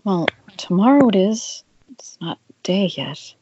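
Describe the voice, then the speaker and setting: Slow, drowsy wife played by a woman. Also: medium pitch, animated, warm tone, natural voice. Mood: sleepy. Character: sleepy, calm wife woken by phone call. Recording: muffled, noisy internet call.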